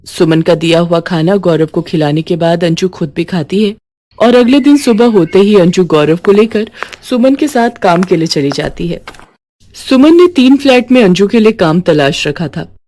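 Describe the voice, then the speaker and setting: A woman's voice: fairly low pitch, animated, moderate pace, warm tone, slight background noise. A woman storyteller reading a scripted moral tale as narrator.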